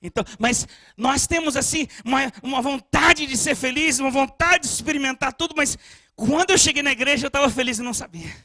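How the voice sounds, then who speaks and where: A male pastor preaching a sermon with passion, moving between soft storytelling and shouted appeals, often stammering as he restarts phrases. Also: very high-pitched, a little fast, no echo.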